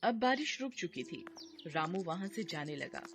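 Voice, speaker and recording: A woman's voice: on the low side, very animated, moderate pace. A woman narrating a cartoon story in a scripted storytelling manner. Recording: noisy background.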